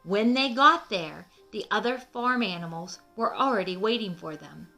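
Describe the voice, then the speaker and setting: A woman's voice: mid-range voice, lively intonation, moderate pace, creaky, bright, forceful tone, soft background music. A woman reading a children's storybook aloud, explaining things simply as the host.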